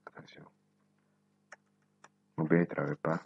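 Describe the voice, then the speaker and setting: A man in his twenties from Benin, whose first language is Nago, hosting a coding tutorial and thinking aloud slowly as he types, repeating words to himself. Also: rumbling low, flat delivery, gravelly, slightly rough, no room sound, lo-fi sound. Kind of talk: casual screen-recorded coding tutorial.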